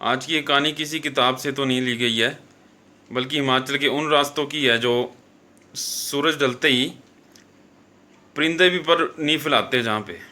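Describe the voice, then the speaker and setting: A man's voice: medium pitch, unhurried, sharp tone. A man narrating a horror story as a show host, in an informal, conversational way with frequent fillers and self-corrections.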